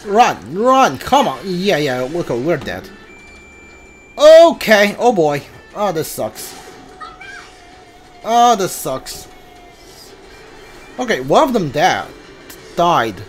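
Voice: medium pitch, very animated, slow pace, long pauses. A video game streamer giving casual playthrough commentary, thinking aloud and muttering reactions while playing. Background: game music.

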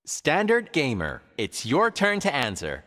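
A man voicing an upbeat animated quiz show host, with theatrical, emphatic scripted announcing. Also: fairly high pitch, measured pace, heavily acted. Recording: large hall reverb, faint crowd noise.

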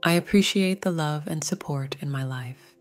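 A woman narrating a scripted guided meditation in a gentle, soothing, measured way. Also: low voice, faint music behind.

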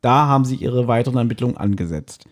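A man's voice: mid-range voice, expressive, fast. A man, co-hosting a podcast and retelling a story in casual conversation. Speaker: podcast co-host. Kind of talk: casual podcast chat retelling an audio drama.

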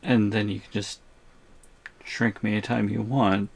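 An actor playing a shrunken, terrified captive in an audio roleplay, pleading in a halting way. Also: mid-range voice, flat delivery, vocal fry. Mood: defeated. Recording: music under the voice.

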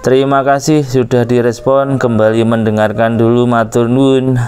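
A man's voice: medium pitch, level tone, normal speed. A man making short, formal amateur radio calls. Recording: clicky, very noisy radio shack recording. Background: loud radio hiss and clicks.

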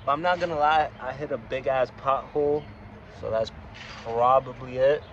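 A man's voice: mid-range voice, normal speed, muffled recording. A young man talking casually to camera in slangy, sweary vlog style, recorded on a phone at arm's length. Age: young adult. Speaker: vlogger. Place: outdoors at a gas station, later inside a car. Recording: loud outdoor and car noise.

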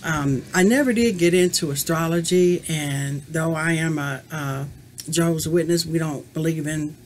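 A woman's voice: deep voice, normal speed. Fifties lady vlogging at her home webcam, chatty and rambly, little bit of background hum. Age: 50s. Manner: rambling and reflective, many "you know" fillers. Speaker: crochet vlogger answering a tag. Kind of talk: casual chat to camera. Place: small room at home, indoors. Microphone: webcam at desk distance.

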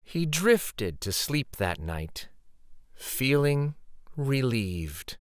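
A man reading a children's picture book aloud as dramatic, suspenseful narration, with theatrical pauses and emphasis. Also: mid-range voice, very animated, no echo, clean studio sound.

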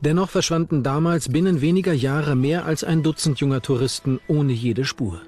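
A man's voice: a little high, moderate pace, a little mellow. A man reading scripted narration for a true-crime documentary. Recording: narration over background music.

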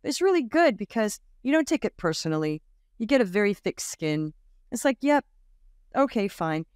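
Animated woman storyteller on a video call. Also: mid-range voice, moderate pace, clean tone, no room sound, studio quality. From Australia. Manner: animated storyteller, acts out quoted voices, laughs often. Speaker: guest. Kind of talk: casual interview storytelling. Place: home room with bookshelf. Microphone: large studio mic on boom arm, video call.

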